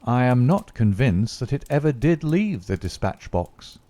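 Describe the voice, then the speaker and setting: Unflappable detective, calm and dry, close dry sound, male reader voicing him. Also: medium pitch, measured pace, dry recording, voice pushed up. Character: cool, confident male detective.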